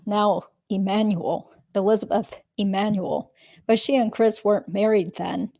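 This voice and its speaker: A woman's voice: medium pitch, dark tone, no echo, natural voice. A woman reading the part of a guarded witness testifying in a legal deposition.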